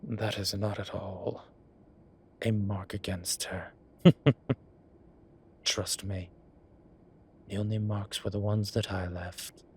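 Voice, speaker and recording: A man playing a flirtatious, cheeky incubus demon in an audio roleplay, talking in a teasing, suggestive way with drawn-out pauses. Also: on the low side, level tone, vocal fry, darkish tone, slight background noise. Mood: smug flirting. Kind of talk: audio roleplay acting, one-sided conversation.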